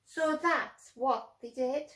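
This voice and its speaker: A woman tells a picture-book story to young children. Her voice is high and her delivery very unhurried. She reads expressively and explains in simple words. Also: a bit bright.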